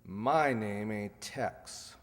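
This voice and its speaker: A man playing a plain-spoken police officer in an old-time radio crime drama, steady and matter-of-fact. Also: lowish voice, extremely slow. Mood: unmoved. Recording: echoey hall stage recording.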